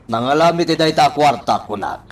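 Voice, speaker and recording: An actor playing a worried, agitated husband in a radio drama, incredulous and emphatic. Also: male voice, on the high side, lively intonation, a little fast, distant mic, close to an everyday voice. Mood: demanding. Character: agitated, worried husband questioning his wife.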